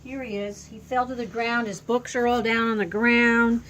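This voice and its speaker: An older woman reading a children's story aloud as a grandmotherly storyteller, adding simple, expressive asides to explain things to a small child. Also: on the high side, normal speed, slight background noise, rough recording.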